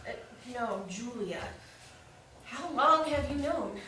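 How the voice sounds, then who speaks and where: A woman's voice: a little high, animated, slow, bright, forceful tone, heavily acted. A woman playing a protective, insistent adult daughter in a staged play reading. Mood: accusing. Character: Grace, tense, suspicious adult daughter, protective and accusing. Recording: echoey room, distant mic, faint noise.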